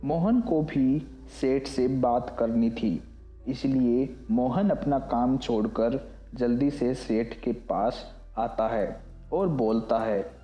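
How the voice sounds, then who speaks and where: A man narrating a scripted animated moral story, also voicing the characters' lines. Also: mid-range voice, slow pace, dull audio. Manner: storytelling, also voices the worker. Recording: echoey mix over loud music.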